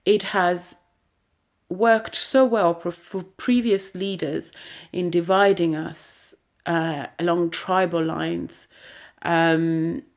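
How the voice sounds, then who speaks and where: A young adult woman from Uganda speaking earnestly to camera in her first video, pausing often with fillers. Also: fairly low pitch, steady tone. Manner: earnest, halting, many fillers. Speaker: vlogger. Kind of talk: personal video commentary.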